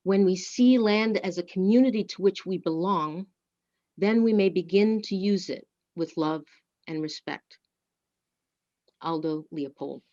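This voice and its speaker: Woman reading poems at a service, solemn n really slow, bit muffled audio. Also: on the low side, mellow. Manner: measured, deliberate phrasing. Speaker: worship service speaker and reader. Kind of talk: solemn scripted poetry and litany reading.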